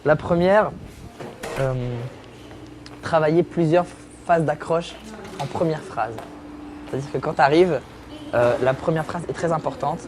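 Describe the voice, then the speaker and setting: Young man, comedian guest, teasing and self-mocking; slightly high voice; noisy room tone behind. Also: unhurried, long pauses, a little mellow. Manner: talks while lying down. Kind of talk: joking interview chat.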